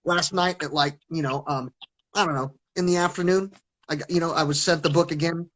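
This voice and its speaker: A man's voice: on the high side, steady tone, a little fast, a bit bright, rough recording. A man talking casually as an interview guest on a video call, stuttering and restarting his sentences. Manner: stutters, many restarts and repeated words.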